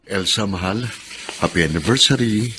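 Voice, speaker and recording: An actor playing a tender, devoted husband in a Filipino radio drama, affectionate and reassuring. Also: male voice, fairly low pitch, moderate pace, over loud music, lightly acted, poor audio. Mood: tender teasing. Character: tender, devoted middle-aged husband, gently teasing.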